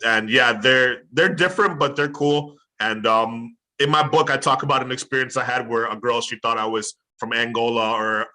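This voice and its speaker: An American man from Chicago, a guest in a casual conversation, telling stories in a relaxed, agreeable way. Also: medium pitch, moderate pace, hardly any pauses, poor audio. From Chicago, USA. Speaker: interview guest, polyglot.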